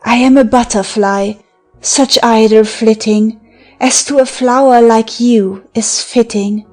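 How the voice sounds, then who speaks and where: Woman acting a slow, taunting seductress over music. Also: a little high, steady tone, a little mellow. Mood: mocking. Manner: theatrical, taunting, breaking into laughter and sighs. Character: teasing, mocking seductive woman of magical wiles. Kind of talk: verse drama audiobook acting.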